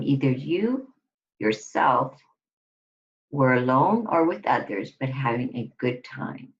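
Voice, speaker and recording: A woman giving a scripted guided relaxation exercise, instructing deliberately in short phrases with pauses between them. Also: rumbling low. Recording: dull lo-fi recording.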